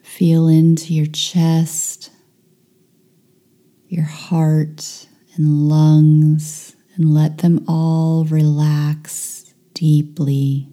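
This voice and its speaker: A woman leading a guided meditation, giving calm, hushed, soothing instructions with long pauses between phrases. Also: sits low.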